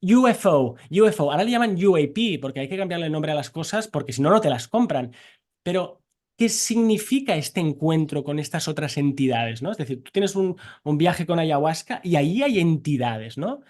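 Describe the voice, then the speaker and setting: A man in his forties from Barcelona, a scientist answering interview questions in an exuberant, joking way with rapid tangents. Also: on the high side, animated, darkish tone. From Barcelona, Spain. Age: 40s. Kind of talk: animated conversational interview.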